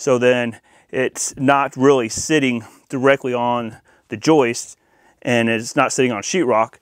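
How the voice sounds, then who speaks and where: A man's voice: mid-range voice, fairly even intonation, unhurried, dry recording, clean studio sound. An American man hosting a hands-on installation demo in an informal, folksy, chatty way. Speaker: audio-video installer and channel host. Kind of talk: casual hands-on install demonstration.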